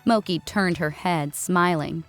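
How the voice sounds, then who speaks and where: A woman's voice: lowish voice, a little slow, no echo. Woman narrating a story over faint music.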